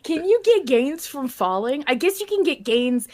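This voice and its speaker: A woman's voice: sits high, very animated, normal speed, darkish tone. A woman hosting a casual fan podcast, talking in playful bro slang with some swearing. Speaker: podcast host.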